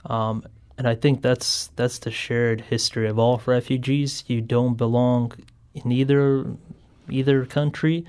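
A young man from Bosnia, a guest on a radio interview, speaking haltingly with many fillers. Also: mid-range voice, little pitch movement, darkish tone, clean tone. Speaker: guest, war survivor.

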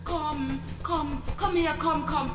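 A radio-play witch with an extremely high, crooning voice. She dotes on her creature in slow, drawn-out phrases, echoing as if from a distance. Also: fairly even intonation. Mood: crooning, pitying. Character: shrill female witch doting on her flying-fish pet. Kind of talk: German radio play acting. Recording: echoey, distant, loud music behind.

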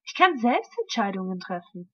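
A woman's voice: mid-range voice, very animated, moderate pace, sharp tone, muffled recording, faint music behind. A young woman playing a mafia boss's indignant 21-year-old daughter, pleading and dramatic, in an audio drama. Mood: exasperated.

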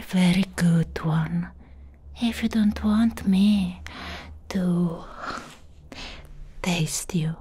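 Breathy, whispering seductive vampire woman, acted by a woman. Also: on the low side, mellow, exaggerated voice. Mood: menacing, seductive. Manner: slow, teasing, intimate delivery with drawn-out words. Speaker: ASMR roleplay performer. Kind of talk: soft whispered ASMR roleplay. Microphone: close mic.

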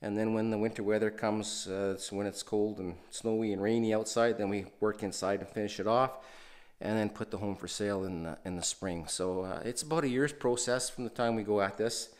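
A man with a fairly low voice gives a casual, unhurried tour of a house being renovated. He rambles, restarting sentences and filling pauses with "uh." He sounds like he's recorded on the camera's own mic inside the empty house. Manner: relaxed, rambling, many fillers and restarts. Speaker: renovation video host. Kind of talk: casual walkthrough narration.

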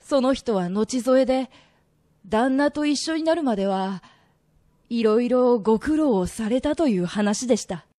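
A woman's voice: fairly high pitch, lively intonation, unhurried, mellow. A woman playing a remorseful, earnest young merchant in a radio drama, telling his story as an emotional confession. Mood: earnest, restrained. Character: reformed young merchant man, earnest, remorseful, pleading son.

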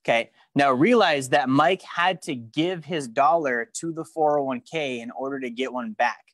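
Young man, finance instructor, animated, quizzes the room, dry sound, mid pitch. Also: moderate pace, no echo. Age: young adult. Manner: animated, gestures at a screen while teaching; frequent restarts and questions to the room. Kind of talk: live course lecture. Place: studio with a branded backdrop and a large screen.

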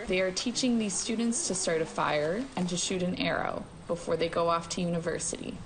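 A woman narrating a scripted news report in an even, measured way. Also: on the low side, noisy background.

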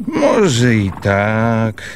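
A man's voice: lowish voice, wildly swooping pitch, drawn-out words, creaky, background noise, heavily acted. A man playing a surly, stolid village sleigh driver in a radio drama, terse and grudging.

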